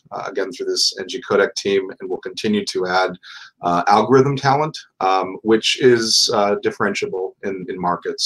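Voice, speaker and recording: A man's voice: sits low, a bit bright, breathy edge, low-quality recording. A man answering on a video call panel from a room with bookshelves, careful and corporate with many fillers. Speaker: technology executive panelist. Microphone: video call webcam.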